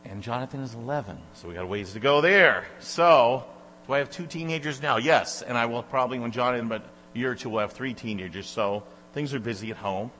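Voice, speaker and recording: A man's voice: medium pitch, a little fast. A man in his forties from Michigan, a guest preacher giving a rambling, informal introduction to a church congregation. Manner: loud, chatty, rambling with many fillers. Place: church sanctuary. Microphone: handheld or stand microphone. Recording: echoey church hall with mains hum.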